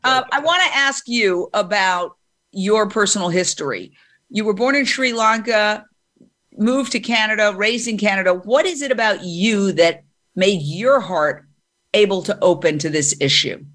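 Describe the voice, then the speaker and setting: A woman's voice: medium pitch, moderate pace, fairly bright tone. A woman hosting an interview, speaking enthusiastically and with strong opinions. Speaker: interview host. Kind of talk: interview conversation.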